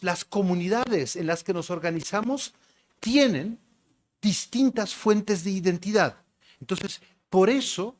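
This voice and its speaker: A man with a rather high voice lecturing in a measured, emphatic way. He calls for the next slide as he goes and stacks up examples in repeated lists. Middle-aged and academic in tone.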